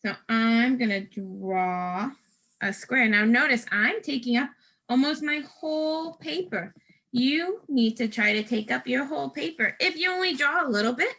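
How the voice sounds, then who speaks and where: Female primary teacher, crisp bright tone, raised pitch, walking small children through a craft in plain, patient steps. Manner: instructional, simple patient phrasing, talking directly to children. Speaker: first grade teacher. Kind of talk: step-by-step craft lesson for young children.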